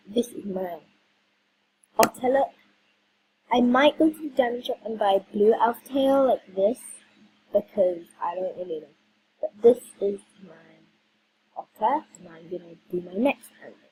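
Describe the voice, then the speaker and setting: Hoarse-ish woman vlogger, halting and unhurried, lots of filler, faint hiss behind. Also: medium pitch, a little mellow, low-quality recording. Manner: hesitant, many ums, chatty. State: losing her voice. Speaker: video host. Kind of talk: casual game walkthrough vlog.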